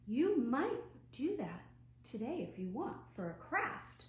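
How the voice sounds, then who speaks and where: A woman with a highish voice leads storytime for children at an unhurried pace. She is animated and keeps asking her young viewers questions. The room she speaks in is noticeably echoey. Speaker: children's librarian hosting an online storytime. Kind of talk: children's storytime read-aloud and craft demonstration. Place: at home, indoors. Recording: roomy echo, faint background noise.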